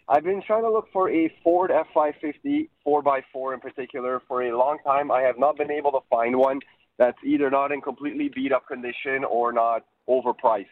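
A man calling in to a radio show, hoarse from a cold, polite and apologetic as he asks his question. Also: mid-range voice, brisk, no room sound. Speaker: caller. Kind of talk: phone-in question. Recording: tinny phone line.

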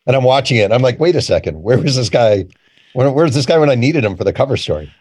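A man's voice: mid-range voice, animated, fast, darkish tone, slightly rough, no echo. A man speaking as an interview guest, candid and colloquial, repeating phrases for emphasis. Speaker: author and journalist guest. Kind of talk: casual conversational interview.

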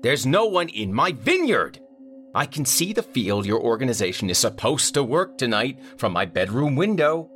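A man voicing an irate, wealthy male winery owner who blusters and booms his complaints. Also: sing-song, measured pace, a bit bright, faint music behind, full character voice. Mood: indignant. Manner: angry, blustering, booming.

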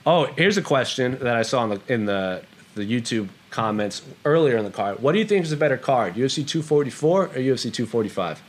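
A man's voice: medium pitch, animated, fast talker. Man co-hosting a casual fight talk show. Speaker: co-host of an MMA Q&A show. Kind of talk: casual sports talk, fielding fan questions.

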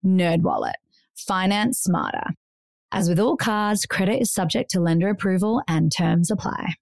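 A woman's voice: fairly low pitch, wildly swooping pitch, moderate pace, gravelly, no room sound. A woman co-host reading an ad in a chatty, upbeat way. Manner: bright, chatty and upbeat delivery. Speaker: podcast co-host. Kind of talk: host-read ad.

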